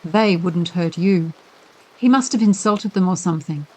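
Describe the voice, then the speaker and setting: A woman's voice: lowish voice, normal speed, darkish tone, breathy edge, rough recording. A woman voicing a bold young boy who speaks curtly and gives orders. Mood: firm. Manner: curt and commanding. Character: bold, brusque young boy, leader of the children.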